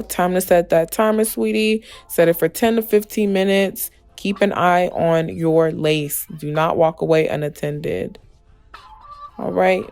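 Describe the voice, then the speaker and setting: Female tutorial host, young adult, slightly hoarse with some fry, chatty and emphatic, mid-pitched, music underneath. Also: darkish tone. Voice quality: slightly raspy. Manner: chatty, emphatic, addresses viewers familiarly. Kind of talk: casual voice-over tutorial. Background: background music with guitar.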